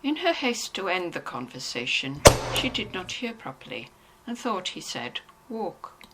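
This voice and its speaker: A woman narrating a novel in an expressive, scripted storytelling style. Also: fairly low pitch, a little slow, heavy background noise.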